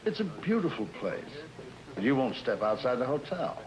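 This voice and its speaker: Man as a genteel, animated older husband. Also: medium pitch, moderate pace, crowd noise, close to an everyday voice. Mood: placating. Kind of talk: dramatic period TV acting. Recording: dull old broadcast with music.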